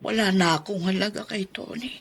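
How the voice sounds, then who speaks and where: A woman's voice: mid-range voice, breathy edge. A woman playing a forlorn elderly aunt left in a care center, speaking plaintively with sighs, in a Filipino radio drama. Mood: sorrowful. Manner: slow, plaintive, sighing.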